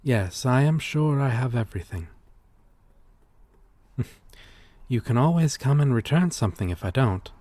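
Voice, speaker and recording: Man playing a husky, slow-spoken gentle vampire. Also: mid-range voice, animated, no room sound. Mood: courteous, fond. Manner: soft-spoken, formal, polite, hesitant pauses. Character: gentle, courteous old-souled male vampire, lonely former fisherman. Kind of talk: ASMR roleplay audio drama.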